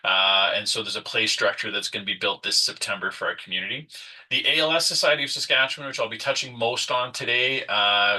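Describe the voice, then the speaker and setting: A man's voice: on the low side, sharp tone, recorded from a distance, low-quality recording. French-native man leading a webinar. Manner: conversational, many fillers and restarts. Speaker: nonprofit workshop presenter. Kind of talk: online webinar presentation.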